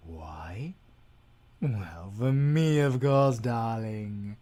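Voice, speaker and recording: A man's voice: medium pitch, expressive, drawn-out words, mellow. A British man playing a sadistic, gloating male werewolf villain with a silky, menacing delivery that drops into whispers. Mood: gloating menace. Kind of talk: audio roleplay acting.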